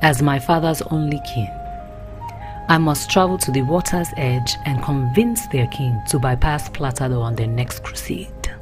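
A woman voicing a proud, resolute fantasy warrior princess in a solemn, measured, regal monologue. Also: rumbling low, music in the background. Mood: solemn resolve. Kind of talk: dramatic fantasy trailer monologue.

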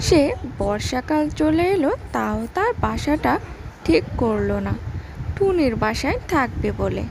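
High-pitched creaky woman narrating a children's story. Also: animated, measured pace, noisy background. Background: music.

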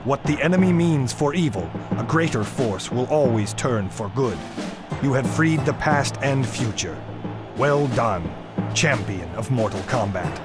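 Game mentor god, male actor: grave, formal, commanding, middle-pitched, heavy score behind. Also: lively intonation, a little slow, lightly acted. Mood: solemn, approving. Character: solemn, commanding thunder god mentor of warriors. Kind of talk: video game cutscene acting. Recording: loud music behind the voice.

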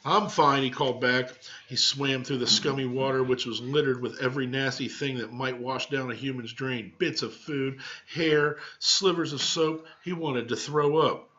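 A man's voice: mid-range voice, steady tone, moderate pace, pushed and bright. Male teacher, middle-aged, story read-aloud in a plain-spoken way, lo-fi home recording. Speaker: teacher reading to students. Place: small home room with cabinets, indoors. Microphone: webcam microphone at arm's length. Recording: dull webcam microphone sound.

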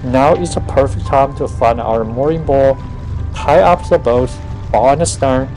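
Music plays clearly beneath a man's voice. He narrates a travel vlog from a script at a middle pitch. His delivery is measured, set out phrase by phrase. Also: a little mellow, low-quality recording. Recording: music under the voice. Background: background music.

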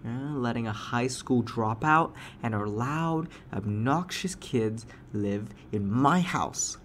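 A man's voice: medium pitch, animated, slow, fairly bright tone, a little hiss or hum. A man playing a smug, cruel landlord in a satirical roleplay monologue, taunting and condescending, with mocking interjections. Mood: condescending, threatening. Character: smug, cruel landlord sneering at a struggling tenant.

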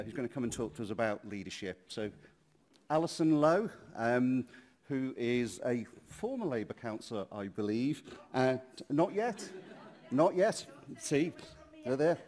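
A man's voice: medium pitch, darkish tone, a little hiss or hum. A man chairing a conference session, introducing speakers in a genial, chatty way with many ums, at a lectern in a large hall.

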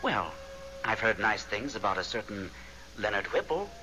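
An actor playing a genial, evasive ghost with a measured, coaxing, theatrical manner in a 1970s radio drama. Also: male voice, on the low side, sing-song, pushed and bright, soft background music. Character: ghost man, genial, evasive, gently persuasive go-between. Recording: noisy old radio broadcast recording.

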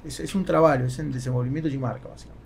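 A man in his forties with a Spanish accent in Portuguese, talking casually and haltingly as a podcast guest. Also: medium pitch, fairly even intonation, creaky, a little mellow. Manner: halting, with repeated words. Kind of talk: casual interview talk. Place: small foam-padded podcast studio. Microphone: close studio microphone on boom arm. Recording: faint background noise.

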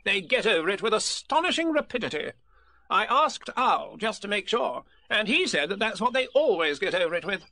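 A man's voice: big pitch swings, sharp tone, heavily acted. A man doing a fussy, bossy rabbit. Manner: brisk, self-important, high-pitched. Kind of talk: storybook reading.

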